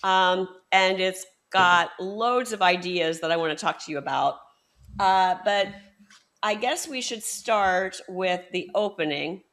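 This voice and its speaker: Female podcast host, low-pitched, slow, hesitant questioner. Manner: warm-up questions with frequent fillers and hesitations. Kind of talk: interview questions.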